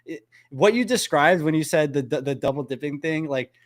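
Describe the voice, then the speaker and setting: Animated man in his thirties, higher voice. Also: normal speed. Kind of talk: casual podcast chat.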